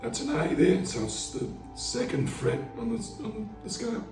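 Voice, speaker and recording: A man with a hoarse, fading voice, casually explaining how he plays guitar over backing tracks in a laid-back, rambling way. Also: sits high, big pitch swings, normal speed, far from the mic. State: hoarse, losing his voice. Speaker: market busker teaching guitar. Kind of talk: casual instructional talk. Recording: roomy sound, away from the mic, guitar playing behind.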